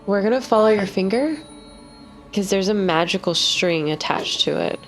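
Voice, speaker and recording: Animated woman bantering in a roleplay game. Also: medium pitch, normal speed, faint music behind. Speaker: tabletop roleplay player. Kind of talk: casual in-character banter.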